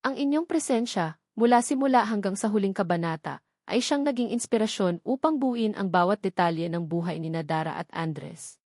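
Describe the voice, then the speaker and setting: A woman narrates a dramatic tale with earnest feeling. Her voice sits at a middle pitch, and the recording is close and dry, with no room around it. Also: normal speed, darkish tone, no room sound. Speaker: story narrator. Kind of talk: dramatic scripted audio story narration.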